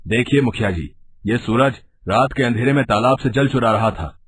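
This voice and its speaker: A man playing an authoritative, principled villager in an animated storybook tale, with a husky voice. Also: medium pitch, moderate pace, lightly acted. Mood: accusing, stern. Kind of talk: animated storybook character acting.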